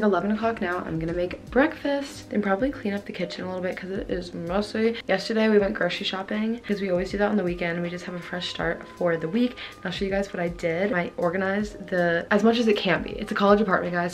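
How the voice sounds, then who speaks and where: Chatty young woman with a gravelly edge. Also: mid-range voice. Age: young adult. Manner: chatty, filler-heavy with frequent "like". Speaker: college student vlogger. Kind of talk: casual vlog talk to camera. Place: small bedroom in a college apartment. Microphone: camera held at arm's length.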